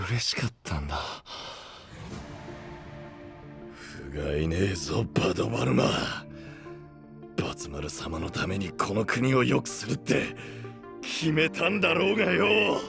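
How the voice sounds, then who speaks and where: An actor playing a brash, fiercely loyal young boss in an anime voice drama, with rough, theatrical speech. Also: male voice, sits low. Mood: bitter resolve. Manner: rough delinquent-style speech, theatrical swings.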